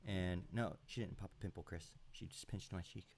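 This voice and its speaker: A congested man with a deep, gravelly voice hosts a loose live stream. He rambles through his chat with plenty of fillers and little chuckles. He speaks into a computer mic with the gain turned up. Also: normal speed, darkish tone, slight background noise. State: sounds congested. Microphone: home computer mic with high gain.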